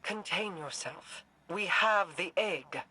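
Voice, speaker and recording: A woman's voice: on the low side, slow pace, bright, forceful tone, breathy edge, exaggerated voice. A woman playing a commanding, aloof fantasy queen in a video game, formal and imperious.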